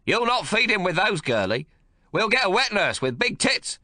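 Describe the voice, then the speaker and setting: Guy doing a loud coarse old grandpa, bossy and crude, pitch jumping all over. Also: sing-song, moderate pace, no room sound, clean studio sound, heavily acted. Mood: bullying. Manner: coarse, bellowing, bullying.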